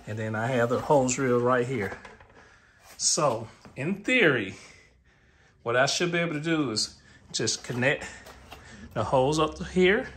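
A man's voice: mid-range voice, sharp tone. Echoey American man doing casual DIY narration. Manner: informal, thinking aloud as he works. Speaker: DIY tutorial host. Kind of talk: casual how-to narration while working. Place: garage workshop, indoors. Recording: echoey garage with noise and clicks.

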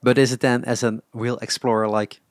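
A man hosts a relaxed interview, asking curious questions. He often stumbles and restarts his sentences. His voice sits in a middle range and sounds dry and close. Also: medium pitch, fairly quick, dry recording. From the Netherlands. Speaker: podcast host. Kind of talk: casual interview chat.

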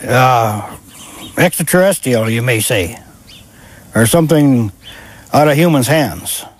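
A male farmer speaking haltingly in a documentary interview. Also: mid-range voice, animated.

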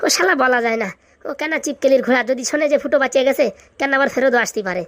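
Woman playing a rapid-fire blustery village guy. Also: high-pitched, no echo, lightly acted. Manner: blunt, colloquial, dismissive. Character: blustery, cheeky village elder-brother figure. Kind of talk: comic cartoon dialogue.

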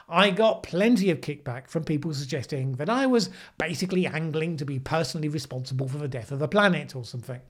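A middle-aged man hosting a commentary show, speaking to the camera in a sardonic way. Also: fairly high pitch. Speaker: host of a weekly news commentary show. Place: small indoor room, plain backdrop. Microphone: microphone on a desk boom arm.

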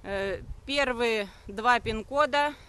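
A high-pitched woman in her thirties talks briskly into a phone held at arm's length as she walks outdoors. Her voice is bright and forceful, and she answers comments defensively, with frequent "uh"s. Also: smooth. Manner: brisk, defensive, with many hesitations. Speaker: network marketing streamer. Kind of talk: live stream answering comments. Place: walking outdoors on a forest path.

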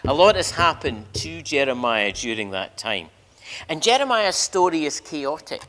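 An older man preaching as a minister, telling a story emphatically with dramatic pauses and repeated phrases in a large church. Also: fairly high pitch, sing-song, a bit bright. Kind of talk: sermon, storytelling to a congregation. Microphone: lectern microphone. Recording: reverberant church hall.

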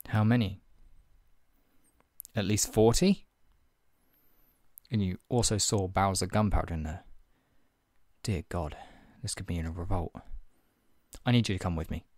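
Man acting stern redcoat interrogator, low-pitched. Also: fairly even intonation, measured pace, pauses often, a little mellow, no echo, studio quality. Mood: measured, persuasive. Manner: clipped, authoritative, formally polite with condescending threat. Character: stern, menacing British redcoat soldier interrogating a colonist. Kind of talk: ASMR roleplay, one-sided scripted dialogue.

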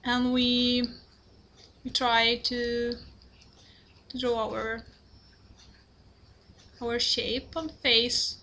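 A young Italian woman talks through a drawing lesson at her computer in hesitant, accented English. She speaks slowly, with a bright, fairly high voice, stopping often to apologize and search for words. A faint hiss sits behind her. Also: flat delivery. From Italy. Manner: halting, apologetic, many fillers and restarts. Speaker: drawing tutorial creator. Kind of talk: hesitant screen-recorded drawing tutorial. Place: at a computer, indoors.

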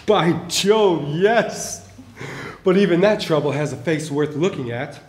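A man's voice: a little high, expressive, measured pace, a little mellow. A stage actor in his forties playing a proud, dutiful officer with clipped, old-fashioned genteel phrasing. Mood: polite, curious. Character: gallant, dutiful male officer and royal messenger, charmed but proud. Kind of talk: live theatrical stage acting. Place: theater stage, indoors. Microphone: distant camera. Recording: echoey theater stage, faint hiss.

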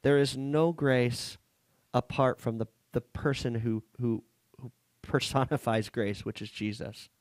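A man's voice: mid-range voice, fairly even intonation, no room sound. Earnest man preaching on a headset mic. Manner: earnest, halting delivery with frequent restarts. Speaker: pastor. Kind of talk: sermon. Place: small church hall set up as a coffee shop.

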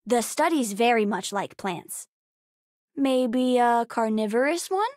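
A woman voicing a bright, bookish, spirited young girl in a video game, talking in an earnest, chatty, childlike way. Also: a little high, expressive, slow pace, dry recording, clean studio sound. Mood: chatty, curious. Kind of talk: acted video game character dialogue.

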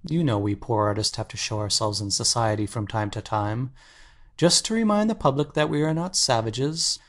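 A man's voice: mid-range voice, normal speed, close to an everyday voice. Actor as an earnest, intense male painter. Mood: fervent, troubled. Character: earnest, anxious male painter confessing his obsession. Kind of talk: dramatic audiobook acting.

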